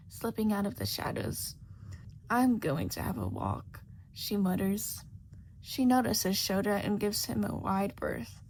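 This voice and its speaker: A woman reads a story aloud with lively, swooping intonation at a medium pitch. Her delivery is expressive and sometimes softens to a breathy near-whisper. Faint noise sits behind the voice. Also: low-quality recording. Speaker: podfic narrator. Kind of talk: audiobook-style fan fiction narration.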